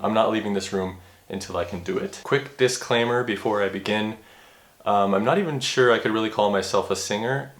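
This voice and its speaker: Fairly low male vlogger, casual and self-deprecating, stumbling over restarts. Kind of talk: casual vlog talk to camera. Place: room at home. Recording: roomy echo with faint music.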